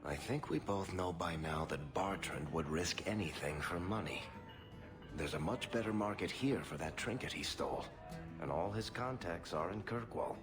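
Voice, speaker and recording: A man's voice: low-pitched, moderate pace, close to an everyday voice. A man voicing a wry, sardonic male dwarf merchant in a video game cutscene. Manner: sardonic, dry humor. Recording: game cutscene with music behind.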